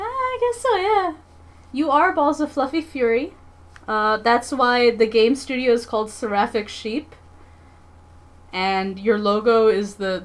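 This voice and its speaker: A woman livestreamer chatting casually with her viewers in a playful, expressive, giggly manner. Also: a little high. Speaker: live streamer and comics artist.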